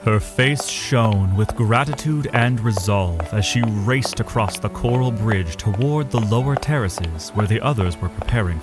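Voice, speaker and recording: A man giving dramatic scripted narration of a fantasy adventure. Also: on the low side. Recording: noisy ambience behind the voice.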